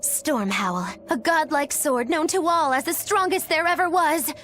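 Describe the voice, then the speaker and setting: An eccentric witch girl from a video game, very high and swooping in pitch. She teases in cryptic riddles with theatrical flair. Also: lively intonation, measured pace, a bit bright. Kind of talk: English video game voice acting. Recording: game music under the voice.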